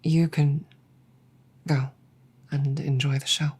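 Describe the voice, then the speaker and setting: A woman voicing an apologetic, self-blaming dragon girlfriend in a roleplay audio drama, speaking softly, tenderly and haltingly. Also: sits low, flat delivery, breathy edge, exaggerated voice. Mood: hesitant, subdued.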